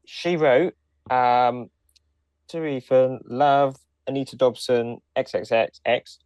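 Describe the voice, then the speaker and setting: A man's voice: mid-range voice, takes it slowly, smooth, dry recording, muffled recording. A man co-hosting a podcast in casual conversation. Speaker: podcast co-host. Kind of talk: casual chat.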